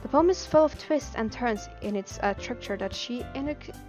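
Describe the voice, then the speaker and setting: High-pitched Belgian Dutch woman streaming, music behind. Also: normal speed. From Belgium, Dutch-speaking. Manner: giggly, expressive, stumbles over words. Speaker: live game streamer. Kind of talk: live stream chat and reading game text aloud.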